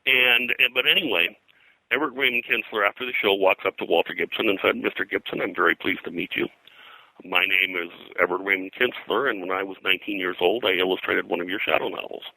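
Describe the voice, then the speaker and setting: Older male interviewee, low-pitched and forceful, digressive raconteur reeling off names and anecdotes. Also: quick pace, gravelly, no room sound, poor audio. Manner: digressive, name-dropping storyteller. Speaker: pop culture historian and guest. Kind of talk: rambling anecdotal interview talk.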